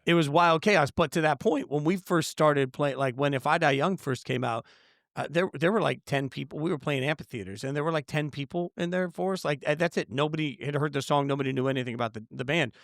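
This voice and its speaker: A man with a fairly high voice tells stories as a podcast guest, lively and full of fillers. His voice is recorded close and dry, with no room echo around it. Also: fast, creaky. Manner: animated storyteller, lots of fillers. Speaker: sportswriter guest. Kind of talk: casual interview storytelling.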